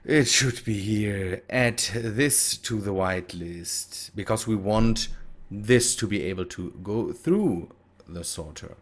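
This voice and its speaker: A man's voice: on the low side, takes it slowly. A man with a German accent and German as his native language, giving casual gameplay commentary in a hesitant, thinking-aloud way with self-corrections. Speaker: gaming video host.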